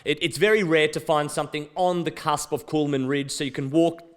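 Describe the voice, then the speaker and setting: A man, a real estate agent with a loud voice, enthusiastically talking through a house on camera. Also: fairly high pitch, steady tone, fairly quick. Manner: loud, enthusiastic, self-joking. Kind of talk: property walkthrough chat. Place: outdoors at a house.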